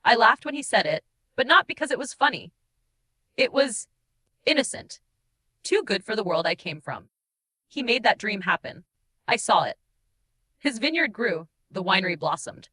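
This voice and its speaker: Woman acting a heartbroken changeling, high and expressive. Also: full character voice. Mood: tender, bittersweet. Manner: emotive, mournful storytelling with dramatic pauses. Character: heartbroken shapeshifting changeling woman, guilt-ridden, tender, wistful confessor. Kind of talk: dramatic first-person audiobook narration.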